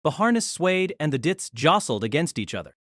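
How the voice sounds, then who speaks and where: A man narrating an audio drama in an expressive storytelling manner. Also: a little high, fairly quick, no echo, clean studio sound.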